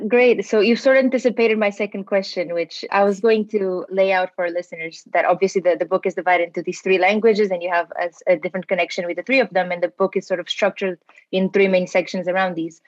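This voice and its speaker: A woman's voice: mid-range voice, steady tone, fast talker, no room sound, muffled recording. A woman interviewing an author, asking friendly, structured questions. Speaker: podcast interviewer.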